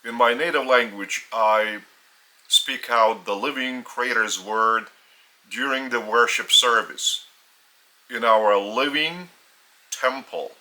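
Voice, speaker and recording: Slow, bright-voiced Lithuanian-accented male teacher. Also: mid-range voice, rough recording. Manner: slow, deliberate, measured phrases with long pauses. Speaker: spiritual teacher. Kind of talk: solemn spiritual teaching monologue.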